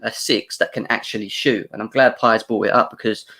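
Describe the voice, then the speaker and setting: A man's voice: fairly low pitch, steady tone, sharp tone. A man on a football podcast panel, talking in a casual, rambling way and restarting his sentences over a video call. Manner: fast, rambling, repeats words.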